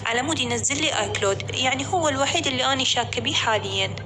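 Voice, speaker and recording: Woman voicing a worried iraqi girl, high and quick, music under her. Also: background noise, natural voice. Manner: anxious, hesitant. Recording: music behind.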